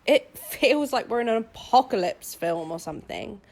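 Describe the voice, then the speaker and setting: A woman's voice: on the high side, expressive, moderate pace, darkish tone. A woman playing a wryly amused shopper, telling a scripted diary story. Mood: wry, bemused.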